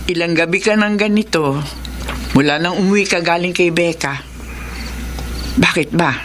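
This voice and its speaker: A Filipino woman acting in a radio drama as a concerned, practical middle-aged wife and mother, coaxing and gently nagging. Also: on the low side, normal speed, slight background noise, close to an everyday voice.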